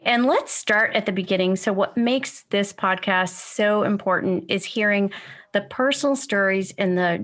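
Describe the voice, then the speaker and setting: A woman's voice: medium pitch, a bit bright. A woman hosting a podcast, reading a scripted intro and then chatting with her guest in an upbeat, enthusiastic way. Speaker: podcast host.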